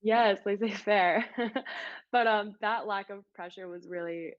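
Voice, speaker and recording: A woman in her twenties from Florida, a podcast guest, speaking in a thoughtful, relaxed way and laughing easily. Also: mid-range voice, measured pace, no room sound, muffled recording. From Florida, United States. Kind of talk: casual interview chat.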